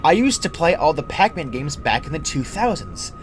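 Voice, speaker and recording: A man's voice: fairly high pitch, very animated, normal speed, soft background music. A young adult man reading a scripted first-person creepypasta as its narrator. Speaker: horror story narrator.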